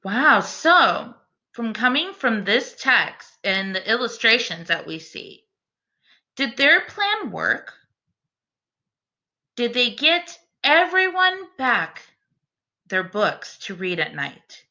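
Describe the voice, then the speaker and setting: A woman teacher reads aloud to children. Her voice is fairly high and bright, with very animated intonation. She sounds encouraging and speaks directly to young listeners. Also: slow. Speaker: teacher reading a picture book aloud. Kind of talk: expressive children's read-aloud with questions.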